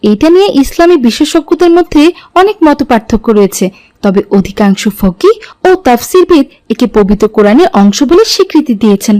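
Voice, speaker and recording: A woman playing a devout, gentle mother in an Islamic cartoon, speaking in a measured, instructive way. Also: high-pitched, big pitch swings. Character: devout, gentle mother guiding her children.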